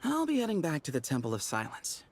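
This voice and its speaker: A man's voice: on the high side, wildly swooping pitch, fast talker, soft background music. A man voicing a composed, friendly young desert temple guardian in a video game, with a storyteller's manner. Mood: friendly, relaxed.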